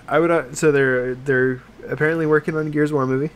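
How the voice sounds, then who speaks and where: A man co-hosting a casual podcast chat about games and films, talking in a halting, meandering way that trails off. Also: fairly high pitch, steady tone. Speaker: podcast co-host.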